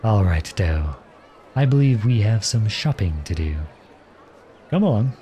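A man acts out a charming outlaw prince in an ASMR roleplay, with a deep, soft-edged mellow voice. He talks to the listener in a courtly, gently teasing way, with lively swings in pitch. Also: measured pace, a little hiss or hum. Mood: warm, attentive. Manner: courtly, playful, tender.

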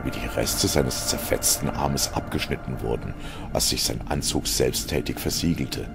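A man's voice: very deep voice, moderate pace, gravelly. A man reading scripted science fiction as a narrator, in a dramatic style. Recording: music behind the narration. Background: music.

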